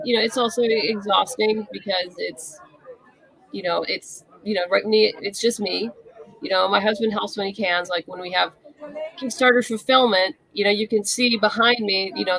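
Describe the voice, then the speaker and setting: A woman talks as an interview guest in a casual, conversational way. Her mid-pitched voice has a noticeable creak, and she explains things candidly. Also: fairly even intonation, normal speed, a bit bright, poor audio. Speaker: interview guest, comic writer and novelist.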